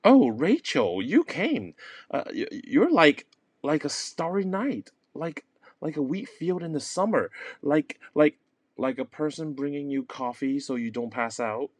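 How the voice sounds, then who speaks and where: Guy doing a feverish heartbroken artist, super melodramatic, stammery, pitch jumps way up and all over. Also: sing-song, unhurried, no room sound. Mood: smitten.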